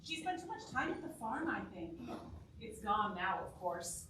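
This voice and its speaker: A woman's voice: medium pitch, big pitch swings, moderate pace, sharp tone. An actress playing a worried, earnest woman in a staged musical reading, plain-spoken and concerned as she confides. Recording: echoey room, distant mic, faint noise.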